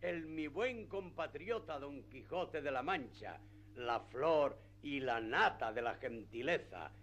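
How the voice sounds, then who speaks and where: An actor playing a pompous, flattering village priest in a declamatory radio theatre style. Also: male voice, medium pitch, slow pace, slight background noise. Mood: effusive, flattering. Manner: declamatory, grandiloquent. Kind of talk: radio theatre acting of classic literature.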